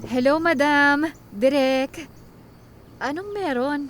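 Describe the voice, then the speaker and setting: A young twin sister in a radio drama, with a high voice and very slow pace. She sounds hurt, soft-hearted and plaintive. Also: faint music behind. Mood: reluctant.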